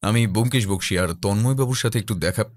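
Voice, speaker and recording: A man playing a composed, earnest detective in an audio drama, speaking in a measured, serious way. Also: on the low side, dry recording, clean studio sound, lightly acted. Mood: firm, formal. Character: composed, earnest male detective, a seeker of truth. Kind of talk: audio drama character acting.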